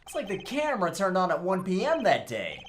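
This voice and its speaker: A woman's voice: fairly low pitch, huge pitch swings, fairly quick, slight background noise. A woman voicing a goofy, boastful young male defense lawyer, overdramatic and sarcastic, in a fan-dubbed video game. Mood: boastful, whiny.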